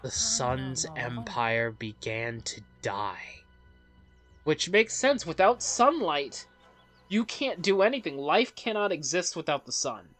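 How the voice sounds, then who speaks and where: A woman's voice: sits low, sing-song, slow, a bit bright. A woman hosting a let's play, reading a game's story aloud in a dramatic storyteller way and adding her own commentary. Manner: dramatic storyteller delivery with interjections. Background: game music.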